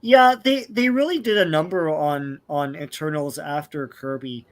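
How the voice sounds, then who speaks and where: A man's voice: fairly high pitch, normal speed. A man in casual podcast chat about comics, rambling with lots of hesitations. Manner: rambling, lots of ums and likes.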